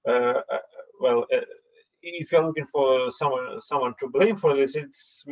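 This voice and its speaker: A man's voice: mid-range voice, a little slow. Hesitant man. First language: Russian. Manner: hesitant, many filler sounds. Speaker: seminar participant. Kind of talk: online meeting remarks. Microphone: computer mic on a video call. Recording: dull lo-fi call audio.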